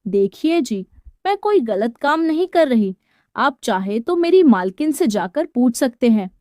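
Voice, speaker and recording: Lady doing a sassy evasive housemaid wife in a story, dismissive comebacks, high pitched. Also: very animated, measured pace, dark tone, no room sound, clean studio sound. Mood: defensive. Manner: retorting, dismissive. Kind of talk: dramatised Hindi moral storytelling.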